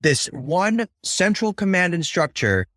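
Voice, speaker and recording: A man's voice: sits high, big pitch swings, unhurried, a bit bright, no room sound, clean studio sound. A Ukrainian-born man, a podcast guest, talking earnestly and often repeating words as he searches for the right phrasing. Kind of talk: conversational interview.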